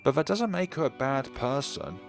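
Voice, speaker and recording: A man's voice: mid-range voice, sing-song, moderate pace, creaky, faint music behind, voice pushed up. A man voicing a troubled, defensive teenage boy in a visual novel, earnest and evasive.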